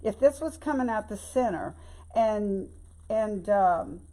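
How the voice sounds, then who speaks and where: An older woman with a hoarse voice and a Louisiana Southern accent, chatting folksily through a bow-making tutorial. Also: mid-range voice, animated, slow, mellow. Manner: chatty and folksy, keeps saying y'all. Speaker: craft tutorial host. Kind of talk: casual bow-making demonstration.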